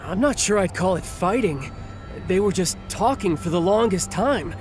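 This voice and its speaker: A woman's voice: mid-range voice, expressive, normal speed, a little mellow, soft background music, close to an everyday voice. Rattled, chatty monster onlooker voiced by a woman. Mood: puzzled. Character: rattled, gossipy monster spectator in an arena. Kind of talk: video game cutscene acting.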